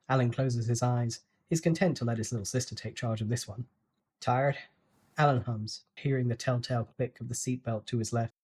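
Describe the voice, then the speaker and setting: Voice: mid-range voice, darkish tone, breathy edge. A narrator reading a novel aloud as a scripted dramatic audiobook. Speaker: story narrator.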